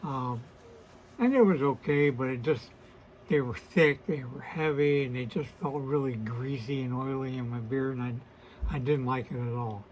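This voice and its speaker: A man in his sixties with a hoarse, weakened voice, hosting a casual to-camera vlog and talking in a hesitant, apologetic way with many fillers and restarts. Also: mid-range voice, moderate pace, slight background noise, rough recording. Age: 60s. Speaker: host of a beard channel.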